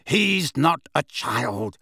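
A man's voice: sits high, big pitch swings, measured pace, creaky, a bit bright, breathy edge, no room sound, studio quality, pitched above the performer's own voice, exaggerated voice. A man playing an impatient, proud, defiant godfather in a storybook, speaking curtly. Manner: curt, impatient.

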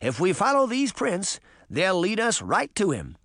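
A man's voice: sits high, wildly swooping pitch, measured pace, a bit bright, no echo, studio quality, voice pushed up, full character voice. A man voicing a portly male ex-policeman in a storybook, a firm leader who scolds and commands.

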